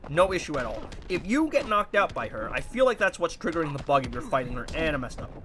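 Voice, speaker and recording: Young man, congested and nasal, casual gameplay commentary, slightly high voice, faint background hum. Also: fast. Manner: animated, puzzled, rambling. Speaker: gaming YouTuber.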